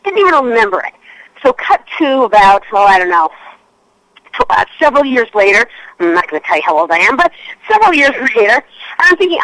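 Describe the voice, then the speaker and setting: A Canadian woman in her fifties tells stories in a chatty, self-mocking way. Her voice is bright and a little sharp, and it is recorded close and dry with no room sound. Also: on the high side, dry recording, low-quality recording. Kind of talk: casual radio interview storytelling.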